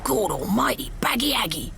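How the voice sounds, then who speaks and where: A woman voicing a rough, jumpy male gangster who talks in urgent, blunt slang in an audiobook story. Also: on the high side, sing-song, moderate pace, a bit bright, slight background noise, voice pushed up, full character voice. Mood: horrified. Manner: urgent, blunt, slangy, through clenched teeth.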